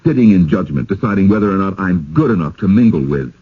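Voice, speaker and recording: A lo-fi vintage radio play has a stubborn, proud husband. His lowish, husky voice moves up and down widely as he objects in a blunt, halting way. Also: animated, heavily acted. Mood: testy, firm. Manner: blunt, indignant, halting repetitions. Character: irritable, stubborn, proud husband, a newcomer resisting scrutiny. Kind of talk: radio drama acting. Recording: dull lo-fi old radio broadcast.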